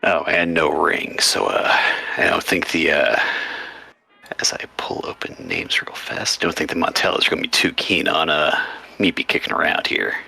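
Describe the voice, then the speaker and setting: Casting: low, gravelly male tabletop player, bright edge, halting and hesitant. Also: expressive, in a whisper, soft background music. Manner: halting, many fillers. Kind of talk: playing a tabletop game in character.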